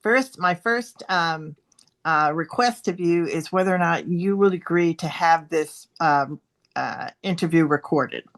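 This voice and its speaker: A woman interviewing a candidate for a political club on a video call, polite and halting with many fillers. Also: fairly low pitch. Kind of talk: moderating an endorsement interview.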